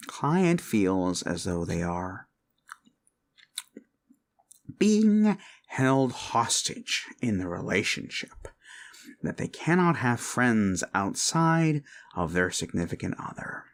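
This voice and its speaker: Creepy possessive boyfriend, acted by a man for ASMR roleplay: slow, swooping delivery, mock-sincere coaxing that slips into whispers. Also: fairly low pitch, sing-song, full character voice. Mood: indignant, mocking. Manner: theatrical, coaxing, deliberate with pauses, drifting into whispers.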